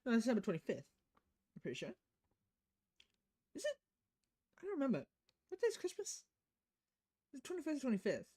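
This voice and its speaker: A woman's voice: high-pitched, wildly swooping pitch, fairly quick, pauses often, dark tone, slightly rough. A woman game streamer reading visual novel text aloud and adding casual asides.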